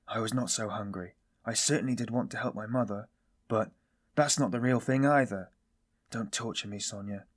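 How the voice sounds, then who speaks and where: A man playing a weary, self-loathing man in audiobook dialogue, confessing in a brooding, bitter, halting manner. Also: on the low side, breathy edge. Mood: weary, vexed.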